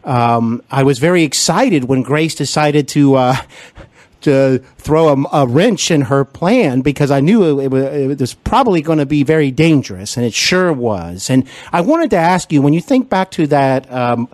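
A man's voice: on the high side, expressive, normal speed, a little mellow, no room sound. Rambling man hosting an interview. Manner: rambling, filler-heavy questions. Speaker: podcast interviewer and actor. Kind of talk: casual interview questions.

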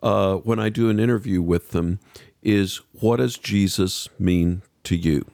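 Low, gravelly male podcast host. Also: measured pace, darkish tone, no echo, clean studio sound. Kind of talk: measured interview hosting.

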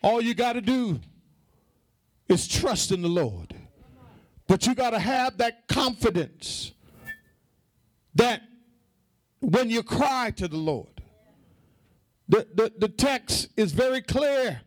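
A man preaching a fervent sermon to a congregation, emphatic and repetitive, calling for response and rising into shouted, half-sung phrases. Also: very high-pitched, animated, takes it slowly, pauses often, dry recording.

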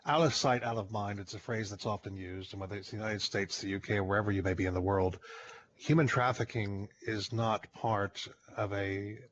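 A man hosting a podcast, posing questions in a measured, reflective way. His voice is fairly low. The recording sounds muffled, with a faint hiss behind it. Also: fairly even intonation. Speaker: podcast host. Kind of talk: interview questions.

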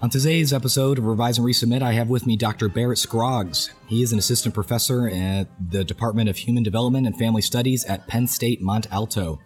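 A man hosting a podcast interview with a raspy voice from a head cold, joking lightly and asking questions with many fillers. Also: medium pitch, steady tone, fast talker, few pauses, faint music behind. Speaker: podcast host, professor.

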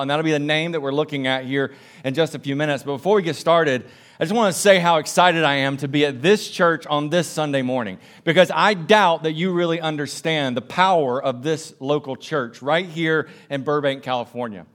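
A man from South Carolina with a Southern American accent, preaching a sermon to a church in a lively, conversational way. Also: a little high. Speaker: pastor.

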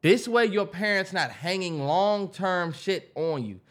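A man's voice: high voice, animated, unhurried, fairly bright tone. An American man co-hosting a podcast, chatting casually with slangy, profane, emphatic phrasing. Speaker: podcast co-host. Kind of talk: casual chat.

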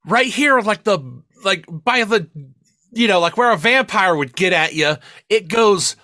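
A man with a bright, fairly high voice that swings widely in pitch. He talks as a podcast co-host, bantering casually and rambling with plenty of swearing. The sound is close and dry. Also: unhurried, dry recording.

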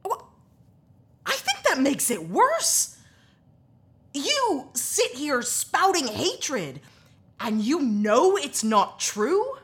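Reluctant, earnest sci-fi heroine, audio drama. Blunt and stubborn, unhurried delivery, lively intonation. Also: female voice, sits high, heavily acted. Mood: indignant. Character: principled, stubborn young heroine, reluctant and guarded.

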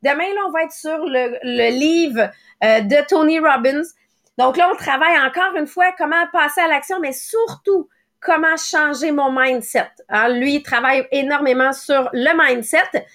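A woman in her late thirties with a Quebec French accent, hosting a motivational morning podcast in a quick, conversational way. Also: high-pitched, a bit bright. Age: late 30s. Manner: quick, conversational, acts out little quoted thoughts. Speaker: podcast host.

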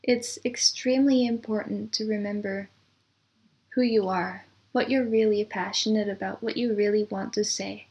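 A woman's voice: mid-range voice, steady tone, moderate pace, a little mellow. A woman speaking as a guest in a conversational interview, explaining her views in a reflective way. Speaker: podcast guest, composer and audio producer.

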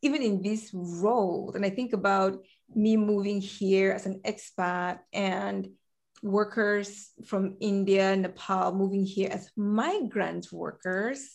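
A woman in her forties from Cameroon, a guest in an interview, telling her story in an animated way and laughing easily. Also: medium pitch, takes it slowly, gravelly. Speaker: guest, compliance director. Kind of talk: conversational interview.

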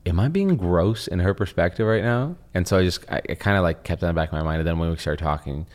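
A man's voice: sits low, fast, a little mellow. A young man who grew up speaking Russian, co-hosting a podcast in a rambling casual chat full of fillers and restarts. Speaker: podcast co-host.

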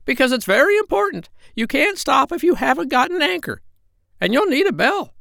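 A man voicing a bossy female parrot who is an old sailor, brisk and know-it-all. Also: extremely high pitch, big pitch swings, vocal fry, pushed and bright, no echo, clean studio sound, voice pushed up, exaggerated voice.

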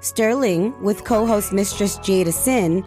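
Woman narrating a documentary over soft music. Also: mid-range voice, a little slow.

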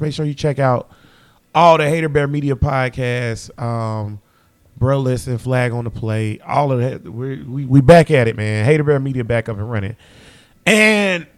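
Loud teasing podcast host man. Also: mid-range voice. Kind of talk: casual podcast banter.

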